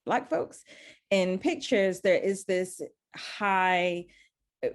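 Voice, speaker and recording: A woman's voice: fairly low pitch, very slow. A woman from Zambia, speaking earnestly as a panelist and often restarting her sentences. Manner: earnest, searching, many restarts. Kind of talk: panel discussion.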